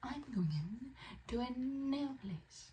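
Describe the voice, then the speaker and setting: A woman vlogger chatting casually and excitedly to the camera, animated and rambling with expressive asides. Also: high-pitched, takes it slowly. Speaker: book haul vlogger and fiction author.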